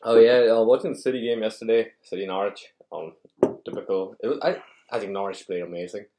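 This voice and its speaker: A young man from India who talks as a podcast guest. He tells stories in a loose, easygoing way. His voice sits fairly low and has a mellow, dark tone. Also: quick pace, echoey room. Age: young adult. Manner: easygoing, chatty storytelling. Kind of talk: casual chat.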